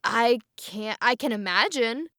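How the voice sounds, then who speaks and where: Slow-paced woman chatting earnestly. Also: on the high side, animated, bright, forceful tone, no room sound, studio quality. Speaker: cast member. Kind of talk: casual cast discussion.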